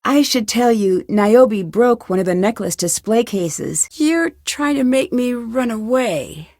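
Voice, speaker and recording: A woman's voice: on the high side, animated, slow pace, a little mellow, no room sound, studio quality, heavily acted. An actress playing an inquisitive, polite young woman detective in a video game, speaking in an earnest, questioning way. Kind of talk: video game dialogue acting.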